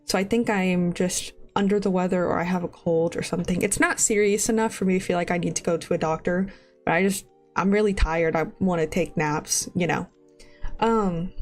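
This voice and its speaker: A woman's voice: mid-range voice, a little mellow. A woman in her twenties who is sniffly with a cold, chatting casually and rambling on a live stream, laughing often.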